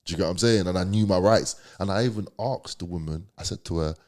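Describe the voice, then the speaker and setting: Male podcast guest, London accent, deep low voice, slangy and emphatic storytelling. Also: fast, a little mellow. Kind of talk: candid storytelling.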